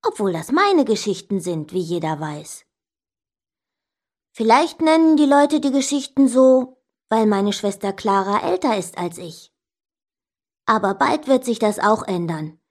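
A woman's voice: medium pitch, a little slow. A woman voicing an indignant young child who tells stories about an older sister, expressively and straight to the listener. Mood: confiding, indignant. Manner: expressive, emphatic, addressing the listener directly. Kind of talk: scripted children's story narration.

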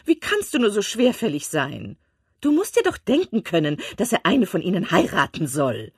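A woman reading aloud and voicing an excitable, gossiping middle-aged mother of five daughters in an eager, insistent manner. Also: medium pitch, normal speed, slightly rough, no room sound, clean studio sound, voice pushed up, heavily acted. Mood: impatient.

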